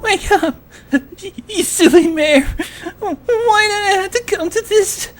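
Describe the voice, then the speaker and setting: A woman voicing a devoted young male dragon sidekick, pleading and high-strung, in an animated fan drama. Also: slow, faint music behind. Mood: desperate, tearful.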